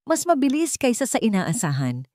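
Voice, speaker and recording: A woman's voice: a little high, big pitch swings, normal speed, a little mellow, no echo, pitched above the performer's own voice. A woman voicing a cold, commanding rich young male boss who gives clipped orders. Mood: curt.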